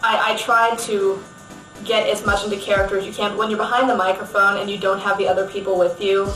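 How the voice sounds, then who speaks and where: A woman's voice: mid-range voice, little pitch movement, fast talker, fairly bright tone, soft background music. A woman speaking off the cuff in an interview as a voice actress. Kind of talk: unscripted interview talk. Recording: echoey room, distant mic.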